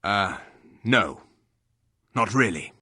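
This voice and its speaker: A man voicing a stern, humorless, gruff hired warrior in a video game, with a husky voice and a curt, scornful way of speaking. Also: on the low side, lively intonation, very slow, pushed and bright, no room sound, clean studio sound, full character voice. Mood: dry.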